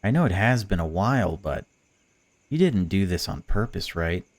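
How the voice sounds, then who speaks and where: A man's voice: low voice, animated, moderate pace. A man voicing a female stalker in a visual novel, a chatty, patronising captor who pretends to care. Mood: mocking. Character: cold, mocking female stalker, falsely caring captor. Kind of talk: visual novel dialogue read aloud.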